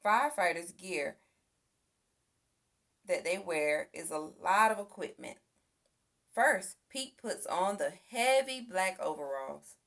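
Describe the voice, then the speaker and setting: A woman reading a children's picture book aloud as an expressive storyteller. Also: on the low side, slow, pauses often. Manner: expressive, acts out sound effects and exclamations. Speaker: storybook reader.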